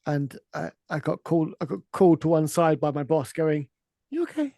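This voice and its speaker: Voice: mid-range voice, big pitch swings, moderate pace, dark tone, no echo, clean studio sound. A player in a tabletop game telling a rambling work anecdote to friends. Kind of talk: casual storytelling among friends.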